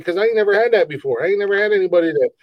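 Casting: man in his thirties, big booming voice, rambling podcast co-host, very dry close sound. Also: lively intonation, racing, no echo, muffled recording. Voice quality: big loud voice. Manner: rambling, repeats words, laughs often. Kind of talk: casual podcast chat.

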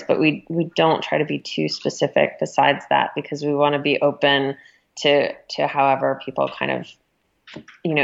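A woman speaking as an interviewed magazine editor, explaining things in an informal, conversational way with some hedging. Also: low voice. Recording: dull, muffled lo-fi audio.

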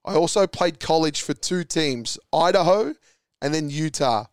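Aussie guy running a quiz, smooth voice, dry sound, kinda high. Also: lively intonation, unhurried, no room sound. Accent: Australian. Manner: upbeat, reads clues then calls answers. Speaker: quiz host. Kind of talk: hosting a podcast sports quiz.

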